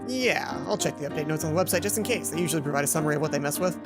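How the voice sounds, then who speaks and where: A woman's voice: low-pitched, expressive, very fast. A woman voicing a friendly bearded man in a video game, a worried robot caretaker who talks in a casual, hesitant, buddy-like way over music. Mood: puzzled. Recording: music behind the voice.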